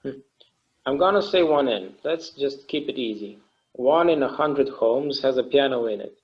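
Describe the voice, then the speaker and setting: A weary, wistful man played by a male actor talks in a halting, everyday way, as in an intimate stage drama. His mid-pitched voice comes through a slightly dull recording. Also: lightly acted. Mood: curious, casual. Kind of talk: conversational table-read acting.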